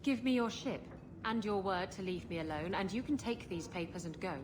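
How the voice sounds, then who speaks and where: An actress playing a brash, roguish pirate swindler in a video game, sassy and cheeky in manner. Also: female voice, mid-range voice, lively intonation, a little fast, background noise, natural voice. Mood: confident bargaining.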